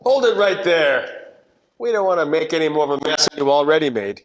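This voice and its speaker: A man's voice: a little high, a little fast, lightly acted. A man playing a wisecracking, cocky 1940s private detective with wry, world-weary slangy delivery in a radio noir parody. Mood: wry narration. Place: theatre production shot before green screen. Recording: echoey hall, faint noise.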